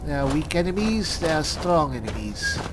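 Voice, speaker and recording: A man's voice: on the high side, sing-song, moderate pace, noisy background. A man giving in-character commentary as he roleplays through a video game. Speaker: gamer narrating a playthrough in character. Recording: loud game sound behind the voice. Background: game sound effects and ambience.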